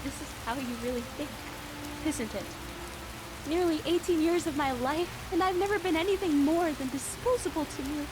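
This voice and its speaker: Woman as young woman nearly eighteen, high voice, anguished, accusing, game cutscene, noisy background. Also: moderate pace, close to an everyday voice. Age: young adult. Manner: pleading, then anguished and accusing.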